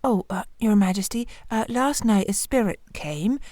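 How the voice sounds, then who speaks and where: A woman's voice: mid-range voice, animated, normal speed, darkish tone, dry recording, clean studio sound, voice pushed up, lightly acted. Lady doing a flustered storybook gardener guy, stammering and very deferential. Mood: nervous. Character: nervous stammering male royal gardener.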